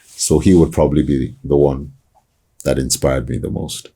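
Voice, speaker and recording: A man who works as a voice-over artist with a deep voice, answering interview questions in a reflective way, often restarting his sentences. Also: fairly even intonation, warm tone, slightly rough. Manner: reflective, hesitant restarts, slow emphasis.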